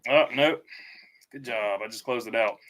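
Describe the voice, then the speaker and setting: Man, bright halting voice. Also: medium pitch, rough recording. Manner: halting, many fillers, reads out viewer comments. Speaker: livestream host. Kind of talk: casual live stream chat.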